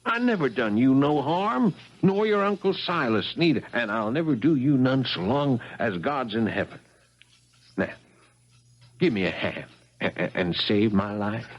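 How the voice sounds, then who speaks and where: Desperate small-time thief, husky and urgent, swooping pitch, 1970s radio drama. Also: male voice, lively intonation, unhurried, highs cut off. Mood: desperate pleading.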